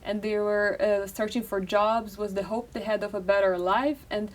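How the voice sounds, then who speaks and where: A young Brazilian woman with a Portuguese accent, talking freely as an interview guest, with lots of "like" and "uh" between thoughts. Her voice sits at a middling pitch and sounds a little distant from the microphone. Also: steady tone, normal speed. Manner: talkative, many fillers like "like" and "uh". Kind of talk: casual interview.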